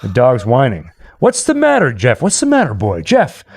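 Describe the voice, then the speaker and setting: Man playing a jaunty, lively radio DJ ghost hunter. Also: medium pitch, moderate pace. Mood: wry narrating. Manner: chatty, wry, addressing the listeners. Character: jaunty skeptical radio DJ exploring a haunted house. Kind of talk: classic radio play narration.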